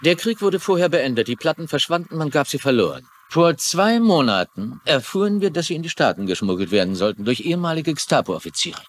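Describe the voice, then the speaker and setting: Grave, higher-pitched male agent. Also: very animated, fairly quick, hardly any pauses. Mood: grave, urgent. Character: grave government agent briefing officers on a secret case. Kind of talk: dubbed TV crime drama acting.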